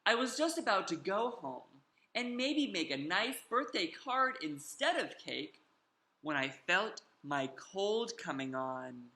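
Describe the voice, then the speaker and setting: Animated, bright-voiced storyteller, slow and theatrical. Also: mid-range voice, roomy sound. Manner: theatrical, drawn-out emphasis, invites listeners to join in. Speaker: storyteller reading a picture book aloud to children. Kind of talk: animated children's story reading, performed in first person.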